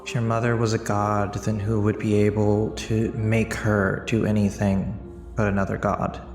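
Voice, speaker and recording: A man plays a grave, judging deity of a tabletop game with a low, very even voice. He admonishes in formal, measured phrases. The sound is roomy, with faint music behind. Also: close to an everyday voice. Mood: stern, probing.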